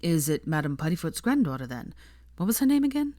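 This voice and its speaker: A woman voicing a mild, kindly, slightly awkward young man in an audiobook story, gentle and measured. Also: on the low side, sing-song, dry recording, clean studio sound. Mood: curious, mild. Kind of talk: fan fiction audiobook character voice.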